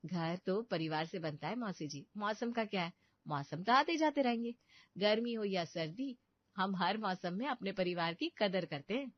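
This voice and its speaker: A woman plays a dutiful young daughter-in-law in a moral-story cartoon. She is respectful, earnest and patient, in a medium-pitched voice. The sound is very dry and slightly muffled. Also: lively intonation, moderate pace, dry recording, lightly acted. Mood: earnest, defending.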